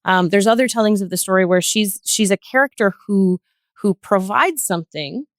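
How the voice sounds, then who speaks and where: A woman speaking as a podcast guest in a thoughtful, conversational discussion, explaining things carefully and pausing to find her words. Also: medium pitch, no room sound, clean studio sound.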